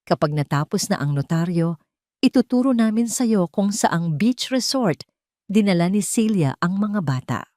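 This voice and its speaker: A woman's voice: mid-range voice, lively intonation, normal speed, darkish tone, no echo, studio quality, close to an everyday voice. A woman playing a cruel older mother-in-law in an audio drama, commanding and menacing. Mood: commanding, threatening. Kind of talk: Tagalog audio drama dialogue.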